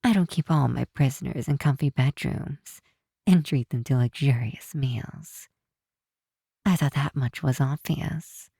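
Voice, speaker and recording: A woman playing a possessive supervillain who is softly menacing yet tender, in an intimate ASMR roleplay with a hushed, teasing delivery. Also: extremely low pitch, no room sound, studio quality, heavily acted. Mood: protective, sincere. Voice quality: breathy, hushed. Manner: unhurried, teasing, theatrical.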